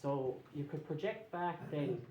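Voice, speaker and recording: A man's voice: on the high side, unhurried, roomy sound, very noisy. A man with an Irish accent giving a public talk to a local history society, explaining things conversationally and checking in with his audience. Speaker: guest lecturer on place names. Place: meeting room with an audience.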